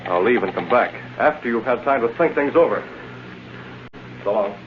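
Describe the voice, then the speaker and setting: An actor playing a cool, commanding masked vigilante in clipped, authoritative vintage radio drama style. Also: male voice, medium pitch, expressive, normal speed, lightly acted. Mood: menacing, taunting. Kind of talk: 1930s-40s radio drama acting. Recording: muffled, noisy vintage radio broadcast.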